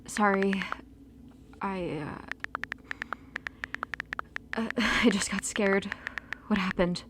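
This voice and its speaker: A woman's voice: medium pitch, steady tone, slow, close to an everyday voice. Girl playing hurt anxious young girlfriend in an audio drama, mid voice, lots of hiss and clicks. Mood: shocked, upset. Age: young adult. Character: anxious, wounded young woman, the cheated-on girlfriend.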